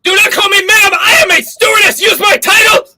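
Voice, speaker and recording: Shrill, touchy stewardess, voiced by a man in live improv. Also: steady tone, a little fast, raised voice, heavy background noise. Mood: indignant. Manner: fussy, insists on her title. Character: shrill, touchy female flight attendant.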